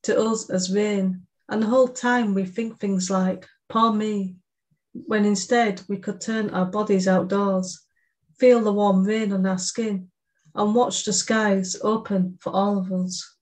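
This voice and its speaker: Soft-spoken woman reading a poem. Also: medium pitch, fairly even intonation, a little slow. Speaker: podcast co-host. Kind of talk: reading a poem aloud, casual chat.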